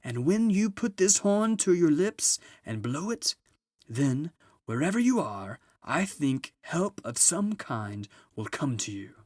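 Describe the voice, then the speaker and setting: A man voicing a big, solemn, kindly old gift-giver in a storybook, with slow, booming, grand proclamations. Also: sing-song, darkish tone, dry recording, clean studio sound, full character voice.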